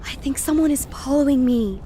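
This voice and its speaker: A woman's voice: high voice, brisk, dark tone, a little hiss or hum, natural voice. An actress playing a panicky young woman on acid, telling her horror story in the first person in an urgent, confiding way. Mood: shaken, pleading. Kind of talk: first-person present-tense horror narration, dramatic.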